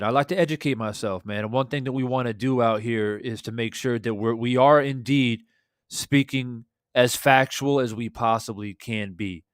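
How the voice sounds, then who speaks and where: Hoarse man hosting a livestream. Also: mid-range voice, no room sound, studio quality. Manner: laid-back, shouting out chat viewers, swears freely. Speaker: boxing livestream host. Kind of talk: casual livestream chat. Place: small home room, indoors. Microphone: studio desk mic with headphones.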